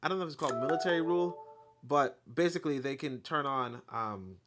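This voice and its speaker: A man reading a history book aloud, then breaking off into animated, blunt commentary with profanity and lots of fillers. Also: mid-range voice, a little fast, over music.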